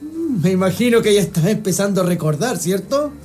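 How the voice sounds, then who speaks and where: Chilean military captain, radio play, male actor. High-pitched, sarcastic, commanding, coldly menacing, faint music behind. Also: big pitch swings, fairly quick, a little mellow. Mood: sinister sarcasm. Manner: mock-polite, commanding. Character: menacing, sarcastic army captain running interrogations. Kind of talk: radio drama acting.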